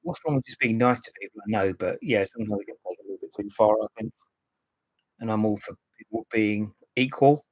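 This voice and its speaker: A man in his late fifties hosts a relaxed live stream, rambling from one topic to the next and picking up viewers' comments as they come in. His voice is slightly husky, and the sound is close and dry. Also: fairly low pitch, fairly quick, dry recording, low-quality recording. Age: 50s. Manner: rambling, chatty, reads and answers viewer comments. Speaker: livestream host. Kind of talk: casual live-stream chat.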